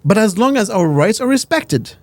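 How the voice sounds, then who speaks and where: A man's voice: high-pitched, sing-song, moderate pace, a little mellow, no room sound. A man co-hosting a talk show, arguing his opinions with emphasis and often repeating words as he builds a point. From America. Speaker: podcast co-host giving opinions. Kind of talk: casual debate chat.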